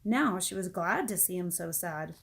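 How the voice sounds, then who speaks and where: A woman reading a children's book aloud as an expressive storyteller. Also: fairly low pitch, normal speed, gravelly. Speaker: storybook reader.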